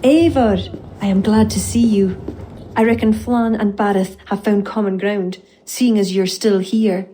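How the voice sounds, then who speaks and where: A woman's voice: medium pitch, fairly even intonation, unhurried, dark tone, slight background noise, natural voice. An actress playing a shrewd, playful court woman. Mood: warm, teasing. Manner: playful, familiar. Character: warm, teasing, shrewd Irish woman court poet.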